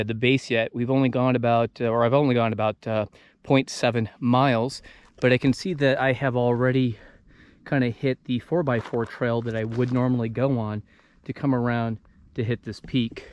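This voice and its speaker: An American man in his thirties talks to a handheld camera out in open country. His pitch is average and his delivery halting, as he thinks aloud on the move. Faint outdoor noise sits behind him. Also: level tone. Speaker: vlogger. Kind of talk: casual talk to camera while hiking. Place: outdoors in open desert backcountry.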